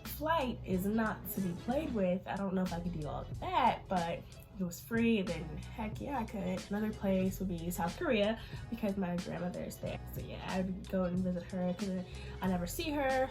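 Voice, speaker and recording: A woman's voice: mid-range voice, lively intonation, moderate pace, music in the background. Chatty young woman with a stuffy nose. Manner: chatty, informal, lots of fillers. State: nasal from allergies. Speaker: vlogger. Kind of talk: casual Q&A chat to camera.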